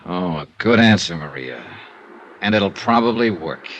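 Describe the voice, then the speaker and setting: A man's voice: sits low, expressive, measured pace, fairly bright tone, highs cut off. An actor playing a cynical, world-weary male insurance investigator in a terse, sardonic 1950s radio noir style. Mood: accusing, bitter. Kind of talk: 1950s radio noir acting and first-person narration. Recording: noisy old radio drama recording.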